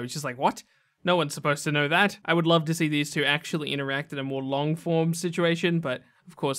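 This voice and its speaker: A man hosting a gaming countdown video with casual, conversational, enthusiastic commentary. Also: fairly high pitch.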